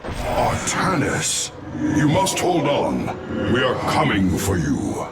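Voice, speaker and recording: An actor playing an ancient, wise male alien warrior-mystic in a video game, speaking in a grave, measured, solemn way. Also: mid-range voice, wildly swooping pitch, vocal fry, heavy background noise, heavily acted. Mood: urgent. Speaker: game character. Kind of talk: video game cutscene voice acting.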